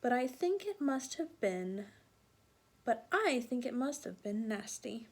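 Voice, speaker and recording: A woman's voice: on the high side, a little slow. A woman reading a picture book aloud to young children, expressively, with asides and questions to her listeners. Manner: expressive, with sound-effect emphasis and questions to listeners.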